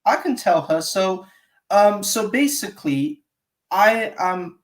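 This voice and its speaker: A man's voice: sits high, lively intonation, slow. A man from England calling in to a live show, talking hesitantly with many fillers. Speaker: caller. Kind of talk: casual chat. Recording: echoey room.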